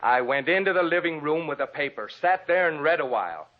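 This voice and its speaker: An actor playing a defensive, bitter murder suspect in an old radio drama, evasive and melodramatic. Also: male voice, a little high, animated, brisk, natural voice. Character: defensive, bitter husband who murdered his sick wife. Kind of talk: 1940s radio drama acting. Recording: muffled old radio recording.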